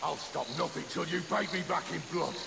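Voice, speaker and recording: An actor playing a furious, half-mad marooned pirate captain in a video game, full of crude threats and taunts. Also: male voice, on the high side, fairly quick, creaky, pushed and bright, a little hiss or hum, exaggerated voice. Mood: unhinged. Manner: growling threats and sardonic taunts. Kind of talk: dramatic video game acting. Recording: music behind the voice.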